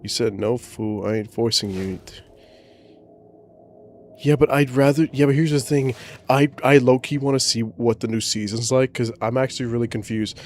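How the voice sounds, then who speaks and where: A man with a boomy voice, casually chatting while streaming a game from home and talking to his pet. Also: moderate pace. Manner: relaxed, rambling, talks to his pet. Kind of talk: casual livestream chat. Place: home, indoors. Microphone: desk microphone.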